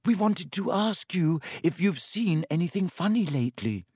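A man voicing a brisk, reasonable, slightly bossy schoolgirl in a storybook reading, with a persuasive manner. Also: fairly high pitch, expressive, no echo, studio quality, pitched above the performer's own voice. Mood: placating, quick.

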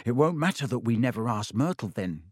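A man's voice: mid-range voice, sing-song, a little fast, no echo. A man voicing a blunt, teasing teenage boy in a dry recording. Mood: cheerful.

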